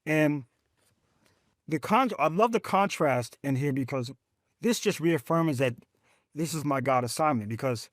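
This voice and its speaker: Emphatic, animated veteran advocate man. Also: measured pace, long pauses, dry recording, studio quality. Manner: emphatic, animated storytelling, speaks of faith; rising pitch when excited. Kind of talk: podcast conversation.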